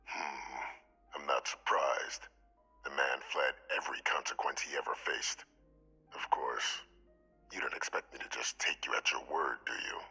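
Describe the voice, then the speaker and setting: An actor playing a grave, calculating old military commander in a sci-fi game, speaking formally and deliberately in a raspy, breathy voice. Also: male voice, rumbling low, sharp tone, soft background music, heavily acted, low-quality recording. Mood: measured, commanding. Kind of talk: scripted game dialogue.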